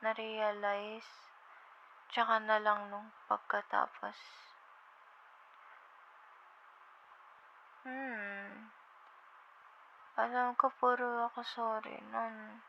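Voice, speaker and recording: A woman acts out a drowsy, loving young girlfriend with a Filipino accent in an intimate ASMR roleplay. She speaks slowly in a flat, gravelly-edged murmur, tender and a little hesitant. The audio is somewhat dull. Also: on the high side, slight background noise. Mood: drowsy, apologetic. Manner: drowsy, tender, hesitant, slips between Tagalog and English. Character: sleepy affectionate young girlfriend on a late-night phone call.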